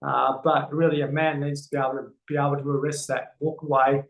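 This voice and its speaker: A man's voice: medium pitch, little pitch movement, fairly quick, creaky, echoey room, slight background noise. A man with an Australian accent speaking earnestly as an interview guest, with many fillers and restarts. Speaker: guest, men's health educator. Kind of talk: casual interview talk.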